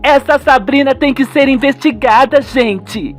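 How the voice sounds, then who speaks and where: A man's voice: very high-pitched, a little slow, soft background music, voice pushed up. A man voicing an indignant young woman, a daughter speaking insistently in a radio soap.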